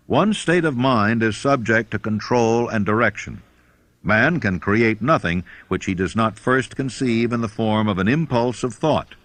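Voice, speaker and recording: A man reading a self-help audiobook in a measured, emphatic, formal way. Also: on the low side, fairly bright tone, no room sound. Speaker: audiobook reader. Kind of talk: scripted audiobook narration of a self-help book.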